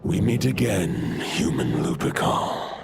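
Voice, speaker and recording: An actor voicing an ancient, solemn wolf god in a video game, speaking formally and gravely in a gravelly, breathy growl. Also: male voice, low-pitched, very animated, takes it slowly, very noisy, full character voice. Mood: grave, weary. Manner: grave, formal, archaic phrasing. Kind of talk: video game character acting. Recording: loud music behind the voice.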